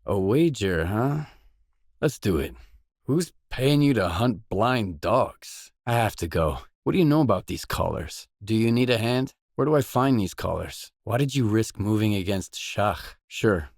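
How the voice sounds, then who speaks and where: An actor playing a terse, guarded mercenary in a video game, speaking scripted lines in a matter-of-fact way. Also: male voice, lowish voice, animated, a little slow, darkish tone, no room sound, studio quality.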